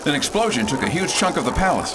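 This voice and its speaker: An actor playing a folksy, bold starship pilot in a space opera radio drama, talking in breezy old-fashioned slang and giving orders. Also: male voice, very animated, quick pace. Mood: urgent, rallying. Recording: clearly noisy, engine rumble behind.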